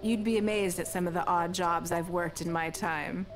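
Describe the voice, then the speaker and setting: An actress voicing a poised, wealthy female leader in a game, speaking in a measured, refined, self-assured way. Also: fairly low pitch, steady tone, over music, natural voice. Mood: composed. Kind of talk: game character dialogue.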